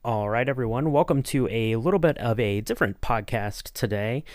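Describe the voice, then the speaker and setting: A man's voice: medium pitch, expressive, normal speed, dry recording, clean studio sound. A man hosting a podcast interview in a casual, rambling, informal manner. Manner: rambling, informal, often saying you know.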